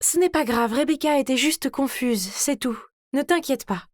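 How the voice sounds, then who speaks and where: A woman's voice: sits high, expressive, moderate pace, no room sound, clean studio sound. A woman voicing a kind, trusting teenage girl in a cartoon story, speaking in a reassuring way. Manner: conciliatory, reassuring.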